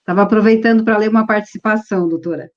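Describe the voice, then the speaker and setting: A woman's voice: medium pitch, rapid-fire, a little mellow. A woman pastor hosting a video call, mostly giving short affirming replies. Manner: brief affirming backchannels. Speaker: pastor and host. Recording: dull lo-fi sound.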